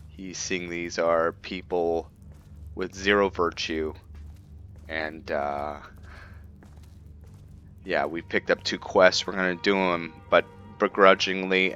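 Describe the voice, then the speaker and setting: A low-voiced man talks through his roleplay game in a casual way. He goes slowly, stopping mid-sentence, on a slightly dull microphone with faint music underneath. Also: a bit bright, clean tone. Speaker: gamer narrating a roleplay playthrough. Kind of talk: casual game commentary with in-character reading.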